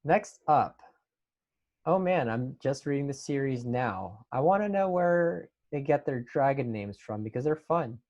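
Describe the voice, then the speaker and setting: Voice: medium pitch, lively intonation, unhurried, darkish tone, muffled recording. An enthusiastic host reading out fan questions and chatting casually in a panel Q&A.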